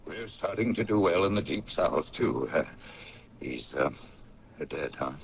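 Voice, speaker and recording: Blunt factory owner for radio drama: very low, swooping delivery, halting and proud, on muffled old tape. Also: male voice, expressive, gravelly, a little hiss or hum, heavily acted. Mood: uneasy. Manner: hesitant, halting talk with ums and pauses. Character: blunt, proud owner of a family paint company.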